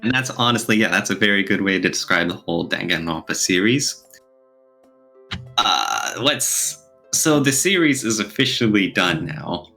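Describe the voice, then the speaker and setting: A man's voice: lowish voice, lively intonation, normal speed, vocal fry, bright, forceful tone, soft background music. A man chatting casually as a podcast co-host, talking in an enthusiastic way.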